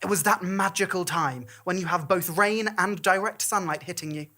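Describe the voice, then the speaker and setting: A woman's voice: on the low side, moderate pace, bright, forceful tone. A woman performing a comic storytelling monologue in a wry, campy, theatrical manner.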